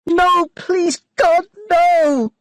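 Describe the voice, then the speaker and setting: A man's voice: a little high, wildly swooping pitch, moderate pace, no echo, pitched above the performer's own voice. A man voicing an exhausted, frightened and angry shipwrecked man who talks harshly. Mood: anguished. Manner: harsh, shouting, bitter.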